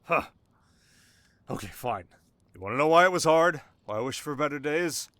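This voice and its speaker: An actor playing a sharp, haunted young king in an intimate ASMR romance roleplay, talking wryly and dramatically to his listener. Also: a little high, animated, normal speed, no echo. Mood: bitter reminiscing. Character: young desert king, sharp, wry, haunted, teasingly tender.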